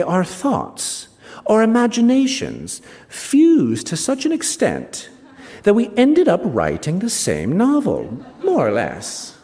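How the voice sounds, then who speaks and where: An actor playing a smug, ageing novelist, reading a short story on stage with wry, self-satisfied, theatrical emphasis. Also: male voice, high voice, a little mellow, heavily acted. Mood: knowing, theatrical. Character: smug ageing novelist recounting his betrayal of a friend. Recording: reverberant hall, live stage reading.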